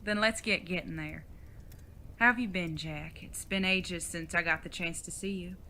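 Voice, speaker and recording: A woman playing a bright-voiced, folksy outlaw mother. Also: on the low side, moderate pace, a little hiss or hum. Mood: teasing, curious. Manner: folksy Old West drawl and wording. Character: folksy old retired outlaw mother, fond and teasing. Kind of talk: audio drama acting.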